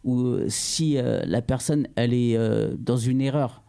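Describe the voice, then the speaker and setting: A man's voice: mid-range voice, steady tone, measured pace, gravelly, mellow, clear, resonant tone. A man hosting a casual radio discussion, talking with many hesitations and restarts. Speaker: radio host.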